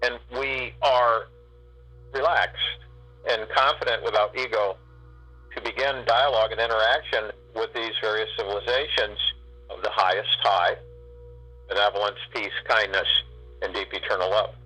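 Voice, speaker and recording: Husky, sharp-toned man leading meditation. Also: on the low side, steady tone, faint music behind, low-quality recording. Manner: slow, drawn-out phrasing with long pauses. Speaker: meditation guide. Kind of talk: guided spiritual meditation.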